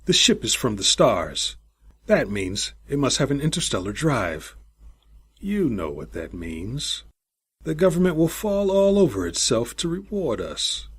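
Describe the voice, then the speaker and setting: Man reading as eager, commanding old space captain, husky. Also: medium pitch, very animated, unhurried, voice pushed up. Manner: snapping, commanding, relishing his words. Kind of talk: audiobook character voice.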